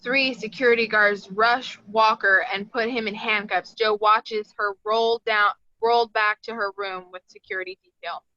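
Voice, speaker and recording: A woman's voice: mid-range voice, steady tone, moderate pace, hardly any pauses, bright, forceful tone, no echo, lo-fi sound. A woman reading screenplay action lines as scripted narration. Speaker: stage directions reader.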